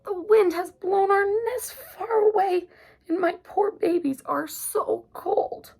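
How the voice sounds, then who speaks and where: A tiny mother field mouse from a storybook, fretful, with a squeaky voice, acted by a woman. She speaks slowly and plaintively, pitched very high. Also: creaky, darkish tone, exaggerated voice. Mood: worried. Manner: slow, plaintive.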